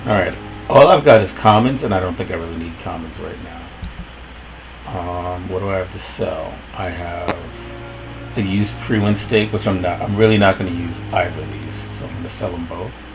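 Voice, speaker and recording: A man with a rough voice commenting casually on his gameplay, rambling and thinking aloud. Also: sits low, normal speed, over music, low-quality recording. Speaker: let's play host.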